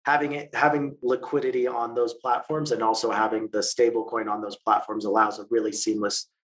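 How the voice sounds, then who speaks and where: A man's voice: medium pitch, flat delivery, fairly bright tone. A man answering interview questions, explaining at length with frequent fillers, false starts and repeated words. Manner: halting, many fillers and restarts. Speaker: interview guest, stablecoin expert.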